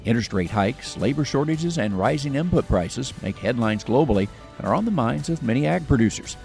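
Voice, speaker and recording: Gravelly-voiced male farm show host. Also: mid-range voice, expressive, darkish tone, soft background music. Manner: measured, conversational questioning. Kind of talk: radio interview.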